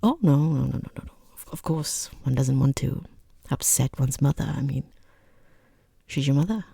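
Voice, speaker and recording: A person voicing a rude, condescending boss in a soft-spoken ASMR roleplay, with a breathy half-whisper, hesitant pauses and dryly mocking, falsely polite understatement. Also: mid-range voice, dry recording, studio quality. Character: rude, condescending boss conducting a job interview.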